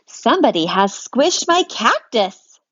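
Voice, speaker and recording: A woman voicing an indignant father who loudly exclaims about a break-in. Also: a little high, very animated, measured pace, no echo, pitched above the performer's own voice, full character voice. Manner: loud, outraged exclamations. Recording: dry, dull lo-fi sound.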